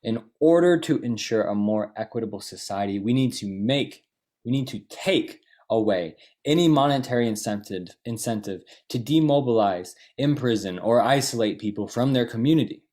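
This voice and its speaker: A man's voice: medium pitch, lively intonation, normal speed, darkish tone. A man performing a theatrical political monologue in an earnest, emphatic, oratorical manner. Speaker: solo performer.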